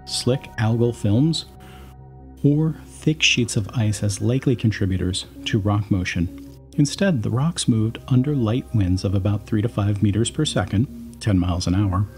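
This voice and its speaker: A man giving scripted documentary narration, reading long quotes aloud in a measured, explanatory way. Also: lowish voice, darkish tone.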